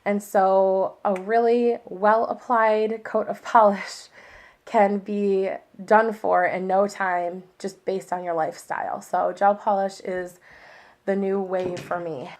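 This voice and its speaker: A woman's voice: mid-range voice, little pitch movement, takes it slowly, a little mellow. A young woman chatting casually about her favorite products, rambling with fillers and sounding a little worn from a lingering cold. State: recovering from a cold, voice a bit worn. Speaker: beauty vlogger. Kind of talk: casual monthly favorites review.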